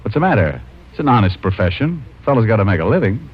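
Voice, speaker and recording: Lowish-voiced wry private eye. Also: male voice, very animated, quick pace, slightly rough. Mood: nervous joking. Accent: American. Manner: wry, self-mocking. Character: wry, wisecracking big-city male private detective. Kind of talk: 1940s-50s radio detective acting and narration. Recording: dull old radio recording with music.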